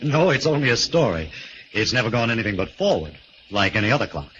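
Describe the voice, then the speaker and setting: An actor playing a genial, unconcerned master of the house with an affable, breezy manner in a 1940s radio mystery. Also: male voice, mid-range voice, sing-song, fast talker, a bit bright. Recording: dull old radio recording, faint noise.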